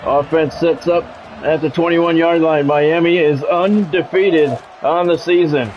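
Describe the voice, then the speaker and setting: A man's voice: on the high side, fairly even intonation, measured pace, a little mellow, slight background noise, poor audio. A middle-aged man doing live sports game commentary like a radio sportscaster, chatting with viewers and repeating phrases for emphasis. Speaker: game streamer and play-by-play announcer.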